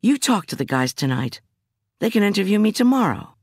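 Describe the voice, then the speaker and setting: A woman's voice: medium pitch, sing-song, a little fast, darkish tone, husky, dry recording, clean studio sound, pitched above the performer's own voice. A woman voicing a strong-willed, weary and defensive woman prosecutor who protests emotionally in an audiobook. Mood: weary, pleading. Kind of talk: audiobook character dialogue.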